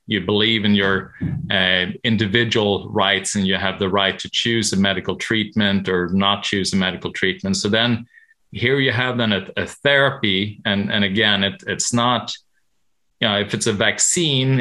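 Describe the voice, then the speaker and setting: A man from Sweden, a medical professional answering interview questions haltingly, with many restarts. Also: on the low side, sharp tone.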